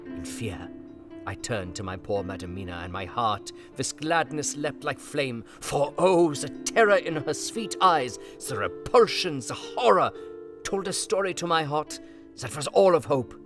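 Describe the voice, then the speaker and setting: An actor playing an earnest, God-fearing aging vampire hunter, narrating his diary solemnly in halting old-fashioned English. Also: on the high side, lively intonation. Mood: fearful, impassioned. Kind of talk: dramatic diary narration in an audio drama. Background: guitar music.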